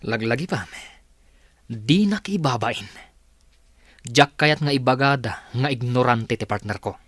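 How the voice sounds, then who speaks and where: Bright, dry-voiced commanding businessman boss played by a man. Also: mid-range voice, lively intonation, unhurried, no echo. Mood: stern, instructing. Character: demanding businessman boss giving curt instructions. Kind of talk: Ilocano radio drama acting.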